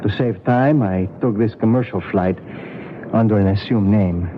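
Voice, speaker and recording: An actor playing a hunted young royal in exile in a 1970s radio drama, earnest and apologetic. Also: male voice, on the low side, moderate pace, close to an everyday voice. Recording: dull, faintly hissy old radio broadcast.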